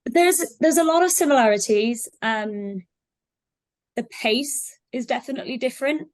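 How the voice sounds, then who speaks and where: A woman's voice: high voice, normal speed. A woman speaking conversationally as an interview guest, explaining things in a reflective, loosely hedged way. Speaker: software sales representative, guest.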